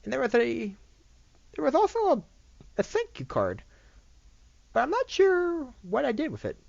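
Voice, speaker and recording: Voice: high-pitched, huge pitch swings, moderate pace, creaky, darkish tone, no room sound, lo-fi sound, voice pushed up, exaggerated voice. A reader voicing a confused, innocent chaos creature who asks things in a hesitant, puzzled way.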